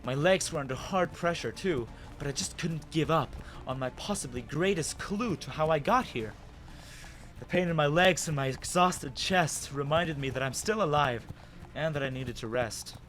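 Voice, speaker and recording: A man giving an expressive, dramatic first-person reading of a story as its narrator. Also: a little high. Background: music.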